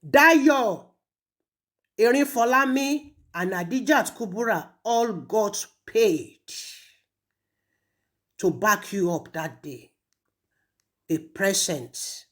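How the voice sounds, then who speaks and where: A woman with a Nigerian accent delivering a confrontational monologue, scolding and taunting someone with heavy emphasis. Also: medium pitch. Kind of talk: confrontational monologue addressed to an absent person, mixing two languages.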